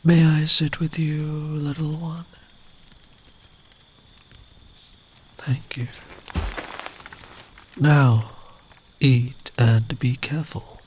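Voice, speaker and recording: A man playing a big, kind traveller in the woods in an ASMR comfort roleplay, speaking gently and soothingly, often close to a whisper. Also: on the high side, flat delivery, slow, long pauses. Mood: caring. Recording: clear background ambient noise.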